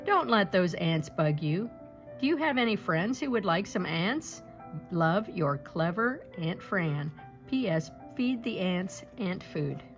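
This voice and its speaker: Breezy, affectionate storybook aunt read by a woman, clever and animated, letter-style, over music. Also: on the low side, slow pace. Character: charming, clever, breezy aunt writing to her nephew.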